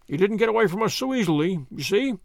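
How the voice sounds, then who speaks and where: A man's voice: high-pitched, animated, quick pace, vocal fry, no echo. A man voicing a hearty, blustering middle-aged police inspector. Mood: delighted.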